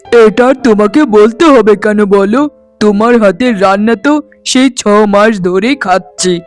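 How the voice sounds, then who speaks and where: A man voicing a gentle young village farmer and devoted husband in a cartoon, mild and folksy. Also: high voice, normal speed, lightly acted. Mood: affectionate. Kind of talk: cartoon voice acting. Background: music.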